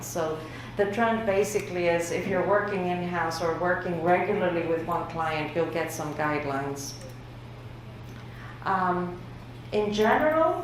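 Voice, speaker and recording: Lower-voiced woman lecturing in an echoey room. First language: Greek. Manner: conversational, many fillers, laughs lightly. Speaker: workshop lecturer. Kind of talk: lecture presenting survey results. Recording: very echoey room with faint noise.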